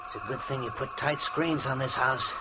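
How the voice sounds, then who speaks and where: An actor playing a professor's blunt, ominous assistant in old-time radio drama, plain-spoken and insistent. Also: male voice, mid-range voice, moderate pace, close to an everyday voice. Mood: foreboding. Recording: muffled, noisy vintage radio recording.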